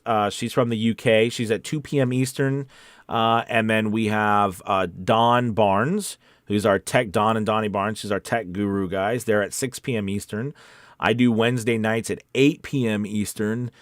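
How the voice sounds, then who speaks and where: Low-voiced man chatting casually on a livestream. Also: no echo, studio quality. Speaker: voice-over coach hosting a livestream. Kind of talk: casual livestream chat, reading viewer comments.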